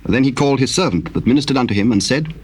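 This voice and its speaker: A man narrating a dramatized Bible, telling the story solemnly in measured, archaic English. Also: mid-range voice, lively intonation, slightly rough.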